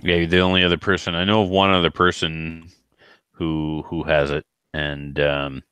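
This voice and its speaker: A man's voice: a bass voice, flat delivery, normal speed, fairly bright tone, clear, resonant tone, no room sound. A man hosting a podcast, asking questions and chatting casually. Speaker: podcast host, interviewer. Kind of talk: casual interview chat.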